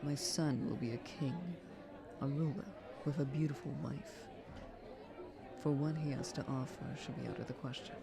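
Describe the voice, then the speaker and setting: A woman playing a dignified, protective queen mother in an audio roleplay, with a measured, formal, regal delivery. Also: very deep voice, steady tone, creaky, a crowd in the background, poor audio. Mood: firm, protective.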